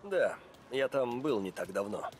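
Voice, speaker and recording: An actor playing a cautious, reluctant mobster with a family in a crime game, over music. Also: male voice, mid-range voice, lively intonation, close to an everyday voice. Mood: wary. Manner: measured, reluctant. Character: cautious family-man mobster, calm and wary.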